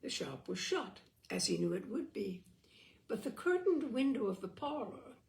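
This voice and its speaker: Woman reading an old-fashioned story, really lively up and down, gravelly edge, dramatic pauses. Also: mid-range voice. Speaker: audiobook reader and storyteller. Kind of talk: expressive literary story narration.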